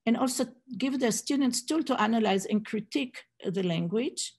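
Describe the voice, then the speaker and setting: A woman's voice: on the high side, lively intonation, normal speed, darkish tone, husky. A middle-aged woman giving an academic conference talk over a video call, hesitant with many fillers and restarts. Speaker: Hebrew program director presenting at a conference. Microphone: video call webcam.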